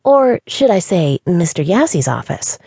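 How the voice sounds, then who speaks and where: A woman voicing a cheery young female receptionist who chatters fast in a high, breathy voice. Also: sing-song, warm tone, no room sound, clean studio sound, heavily acted. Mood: bubbly. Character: bubbly young female receptionist, cheery but easily scared.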